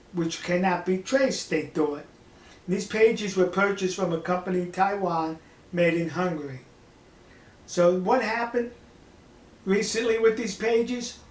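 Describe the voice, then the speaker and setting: A man's voice: high-pitched, reverberant. An older man giving casual monologue commentary to viewers in a folksy, emphatic manner with rhetorical questions. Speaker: online political commentator.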